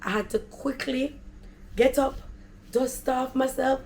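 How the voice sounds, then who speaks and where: Raspy Jamaican woman telling stories. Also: takes it slowly, slight background noise. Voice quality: deep raspy voice. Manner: animated, chatty, slips into patois. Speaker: vlogger. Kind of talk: casual storytelling.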